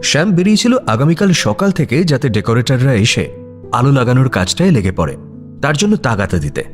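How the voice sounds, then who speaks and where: A man's voice: medium pitch, very animated, brisk. A man narrating a scripted story in a dramatic storytelling style. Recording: music bed under voice. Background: background music.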